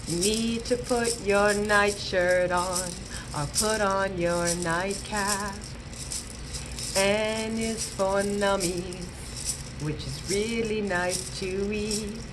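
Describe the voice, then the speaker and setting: A woman's voice: medium pitch, steady tone, drawn-out words, music in the background, poor audio. A woman hosting a storytime, talking playfully and animatedly to young children as a children's librarian would. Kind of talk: children's storytime read-aloud and chat.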